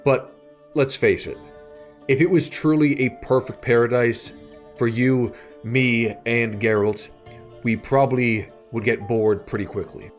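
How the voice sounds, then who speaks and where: Man, scripted video essay narration, medium pitch, slow pace, measured phrasing, short pauses. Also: fairly even intonation. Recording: music bed behind the voice. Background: background music.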